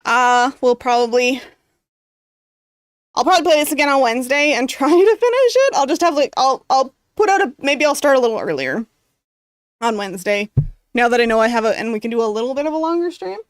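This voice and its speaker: A woman's voice: high voice, lively intonation, fairly quick, clean tone, no room sound, clean studio sound. A woman streamer who reads a visual novel's narration aloud and chats casually with viewers, with lots of fillers. Her voice is going from so much reading, and she coughs now and then. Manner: casual, rambling, heavy on fillers.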